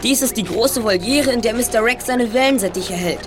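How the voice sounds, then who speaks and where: A woman's voice: on the high side, fairly quick, music in the background, lightly acted. Woman acting a clever, confident boy detective. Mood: assured. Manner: precise, explanatory, confident. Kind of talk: audio drama acting.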